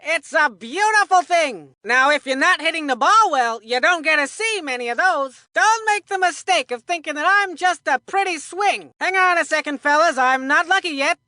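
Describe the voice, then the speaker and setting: A woman's voice: high-pitched, lively intonation, moderate pace, few pauses, pushed and bright, no echo, studio quality. A woman voicing a folksy, confident boy baseball player in a cartoon video game, chatty and full of old-fashioned exclamations. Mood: instructive, folksy. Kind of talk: cartoon video game voicelines, sports banter.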